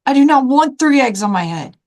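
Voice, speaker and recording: Woman acting an anxious, fretful elephant, swooping pitch. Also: lively intonation, brisk, a little mellow. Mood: fretful, dismayed. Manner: fretful, incredulous questioning. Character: anxious male elephant, fussy, exasperated worrier.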